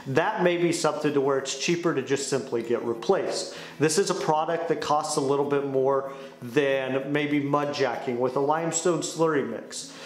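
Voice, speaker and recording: A young man with a slightly high voice delivers a scripted explainer as a company presenter. He speaks to camera in a small studio, and the room echo is clearly audible around his words. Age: young adult. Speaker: sales director presenting a company explainer video. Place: small indoor studio with dark wall panels. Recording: roomy echo on the voice.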